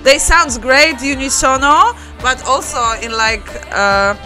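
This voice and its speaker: A woman in her thirties from Poland, speaking with a Polish accent, holding a microphone in a cozy room and reacting to a song with excited exclamations and laughs. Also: high-pitched, takes it slowly, soft background music. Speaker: YouTuber and music journalist. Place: cozy living room with fairy lights, indoors. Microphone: handheld microphone.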